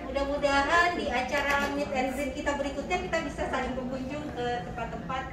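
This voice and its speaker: A woman's voice: high voice, steady tone, brisk, a loud crowd. A woman co-founder giving a friendly welcome speech on a handheld mic, with laughing asides. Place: clinic showroom, indoors. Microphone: handheld mic, far camera. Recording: echoey room, distant mic, noise.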